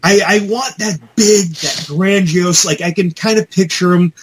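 A man co-hosting a podcast in casual chat about pop culture, talking in an animated, rambling, mildly profane fan style. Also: sits high, unhurried, slight background noise. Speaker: podcast co-host.

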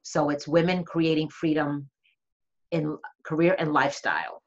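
A woman's voice: low voice, fairly even intonation, normal speed, dull audio. A woman guest and coach from Connecticut, speaking earnestly and motivationally in a casual interview.

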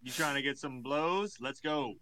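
A man's voice: mid-range voice, moderate pace, no echo, lightly acted. A man playing a crude, irreverent, boastful Jesus in a comedy podcast bit. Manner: crude, boastful, deadpan jokes.

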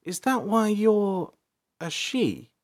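A man's voice: a little high, sing-song, moderate pace, voice pushed up. A man voicing a sheepish, naive young runaway princess, earnest and inquisitive, in a fantasy audiobook. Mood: hesitant. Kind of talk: audiobook character dialogue.

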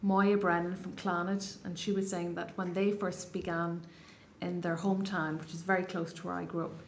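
A woman's voice: on the low side, level tone, normal speed, slight background noise. Thoughtful Irish woman in her thirties. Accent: Irish, Donegal. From Ireland, Donegal Gaeltacht. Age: 30s. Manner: thoughtful, hesitant, many restarts and fillers. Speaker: poet, interview guest. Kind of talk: reflective interview answers.

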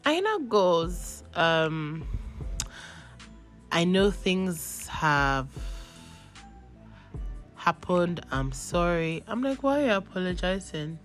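Young nigerian woman with a cold, chatty animated storyteller, voice going hoarse, some music underneath. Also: on the low side, slow, long pauses. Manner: chatty, animated, acts out texts in quotes. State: has a cold, losing her voice. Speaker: podcast host. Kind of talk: casual storytelling.

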